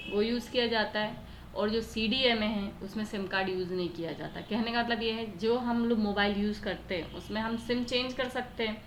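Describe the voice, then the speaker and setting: Indian woman teaching in an echoey room. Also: on the high side. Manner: didactic, asking questions and answering them herself. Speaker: computer teacher. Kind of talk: explanatory lesson in Hindi mixed with English terms. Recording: echoey room with faint background noise.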